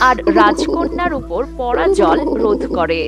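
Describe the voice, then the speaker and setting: Animated high-voiced woman storyteller. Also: a little slow. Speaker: storyteller narrator. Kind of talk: scripted children's story narration. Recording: faint background music.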